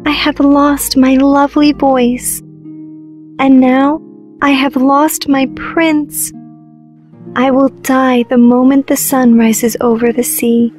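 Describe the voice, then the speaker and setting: High-voiced, heartbroken young mermaid. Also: female voice, flat delivery, slow, darkish tone, faint music behind. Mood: sorrowful despair. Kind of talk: animated fairy tale voice acting.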